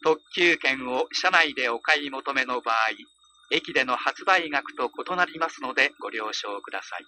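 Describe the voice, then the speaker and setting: A male train announcer delivers scripted lines formally and politely. His voice is very bright and forward, at a medium pitch. It comes through onboard speakers, with a light hum and noise behind it. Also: moderate pace, poor audio. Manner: formal, polite, precisely enunciated. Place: inside a train, over the PA speakers.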